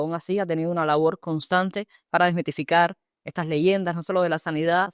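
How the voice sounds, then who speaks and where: A woman's voice: lowish voice, no room sound, studio quality. A woman interviewer putting questions and remarks to a guest in a prepared interview style.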